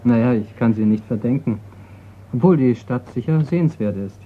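An actor playing a brisk, casual and bluntly wry private detective in a radio crime play. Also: male voice, mid-range voice, natural voice. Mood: brisk, hospitable. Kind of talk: radio crime drama acting. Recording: noisy, narrow-band radio play sound.

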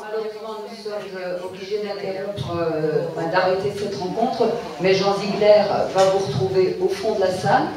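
Older Belgian woman moderating, echoey room. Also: medium pitch. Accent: Belgian French. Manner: polite, hesitant with fillers. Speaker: event moderator. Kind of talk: closing announcement. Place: bookshop event room. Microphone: handheld mic. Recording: echoey room from far off, audience noise.

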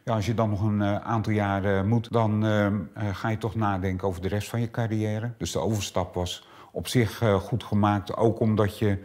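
Low-voiced middle-aged man, halting explanations. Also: steady tone, a little mellow. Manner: hesitant, many filler sounds. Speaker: clinic owner. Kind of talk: explanatory interview answers. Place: small treatment room indoors.

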